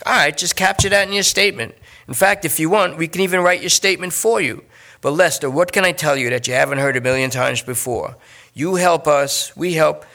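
A man voicing a middle-aged male police detective who talks brisk and persuasive. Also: a little high, creaky, pushed and bright, voice pushed up. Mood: coaxing.

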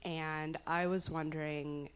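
Low-voiced chatty woman in the audience. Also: little pitch movement, slow, clean tone. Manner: chatty and joking. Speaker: audience member asking a question. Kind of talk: live audience question.

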